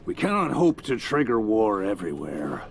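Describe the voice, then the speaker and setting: An actor playing a sardonic warrior lieutenant who serves a warlord, theatrical in manner, in a video game cutscene. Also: male voice, lowish voice, very animated, normal speed, creaky. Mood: wry, doubtful. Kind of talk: video game cinematic acting.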